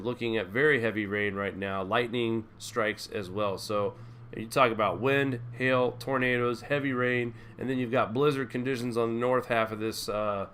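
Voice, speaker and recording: A man's voice: medium pitch, a bit bright, slight background noise. A man hosting a live news stream, talking viewers through a weather forecast in a folksy way. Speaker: host.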